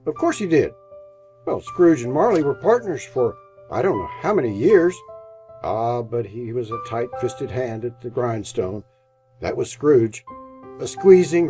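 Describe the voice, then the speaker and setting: A man hosting and narrating a radio play as theatrical scripted storytelling, with dramatic, drawn-out emphasis. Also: medium pitch, dark tone. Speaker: host and narrator.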